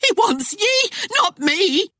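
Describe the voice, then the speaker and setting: A woman's voice: extremely high pitch, sing-song, extremely slow, pushed and bright, no room sound, studio quality, pitched above the performer's own voice, heavily acted. A woman voicing a hysterical, terrified village wife, blubbering and shrieking in rustic dialect. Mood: panicked.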